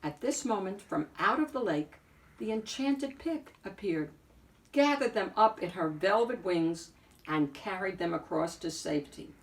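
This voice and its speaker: A woman reading a picture book aloud as an expressive narrator, phrasing deliberately with emphatic swells. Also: mid-range voice, recorded from a distance, rough recording. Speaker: picture book reader and host.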